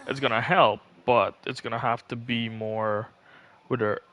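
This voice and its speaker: A man's voice: mid-range voice, steady tone, a little slow, fairly bright tone. A man giving casual, rambling livestream commentary, thinking aloud as he plays a game. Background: faint game music.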